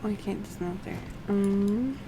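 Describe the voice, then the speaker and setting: A woman livestreaming a game, halting and a little unwell, reading quest text and commenting casually on her play. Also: mid-range voice, noisy background. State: feeling unwell.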